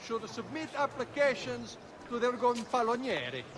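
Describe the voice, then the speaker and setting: A man's voice: very high voice, very animated, moderate pace, background noise. A man playing a formal Renaissance town crier with an Italian accent, making public proclamations in a video game. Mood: official. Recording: loud busy street ambience.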